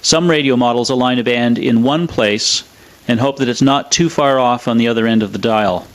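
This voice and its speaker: A man giving scripted technical narration in a measured, matter-of-fact way, like a presenter of a restoration video. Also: medium pitch.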